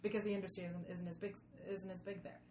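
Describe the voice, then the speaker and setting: Woman podcast guest, medium pitch, feels for words, casual, noisy behind her. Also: level tone, quick pace. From Sweden. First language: Swedish. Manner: hesitant, searching for words. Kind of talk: casual interview chat. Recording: clearly noisy background ambience.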